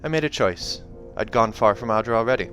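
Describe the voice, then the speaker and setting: A man's voice: lowish voice, a little fast, fairly bright tone, soft background music, close to an everyday voice. A man voicing a fierce, guarded young huntress in a fantasy storybook, curt and cool, with a put-on accent. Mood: matter-of-fact.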